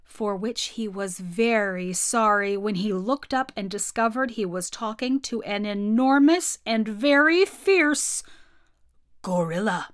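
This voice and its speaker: A woman's voice: mid-range voice, takes it slowly, clear, resonant tone, no room sound, clean studio sound. A woman, narrating a children's book expressively. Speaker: storyteller. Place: small home room with bookshelves.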